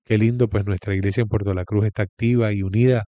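A man's voice: fairly low pitch, fairly even intonation, quick pace, warm tone, dry recording, muffled recording. A man from Venezuela, a guest pastor giving his testimony in an interview in an earnest, preacherly storytelling way.

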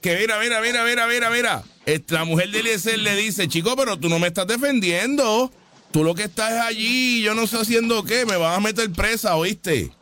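A Puerto Rican man giving casual, mocking live commentary over a trial, excitable and repetitive. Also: sits high, normal speed, soft background music. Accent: Puerto Rican Spanish. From Puerto Rico. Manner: excitable, mocking, repeats himself. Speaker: online commentator reacting to a trial stream.

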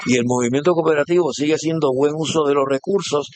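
A man's voice: on the high side, fast, a little mellow, a little hiss or hum. A man with a Puerto Rican accent interviewing on site, friendly and enthusiastic, with many hesitant fillers. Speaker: interviewer, reporter.

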